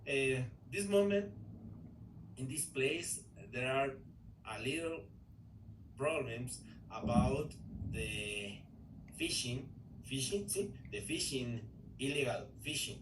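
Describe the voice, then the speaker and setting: Male English learner, Ecuadorian Spanish accent, hesitant and slow, distant mic with a faint hiss. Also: medium pitch, lively intonation. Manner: hesitant, many fillers and restarts. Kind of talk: halting conversation in English class. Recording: echoey room, distant mic, faint noise.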